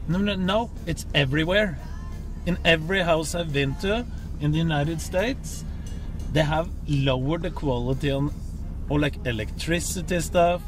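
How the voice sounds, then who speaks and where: A man, chatting earnestly and haltingly in a car at night. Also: on the high side, over music. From Norway. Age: 30s. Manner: earnest, halting, laughs often. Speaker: streamer. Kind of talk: casual chat. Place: in a car at night, then outside a store.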